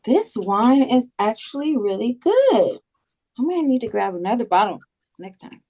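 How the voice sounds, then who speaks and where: A woman's voice: on the high side, sing-song, normal speed, warm tone. A woman playing an easygoing, helpful friend who chats casually in a table read. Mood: friendly.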